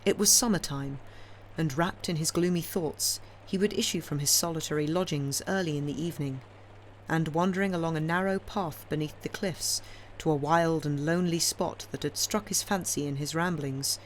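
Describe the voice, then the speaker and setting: A woman reading classic fiction aloud as an audiobook narrator, in a measured, expressive, dramatic storytelling style. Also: low voice, slight background noise, rough recording. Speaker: audiobook reader.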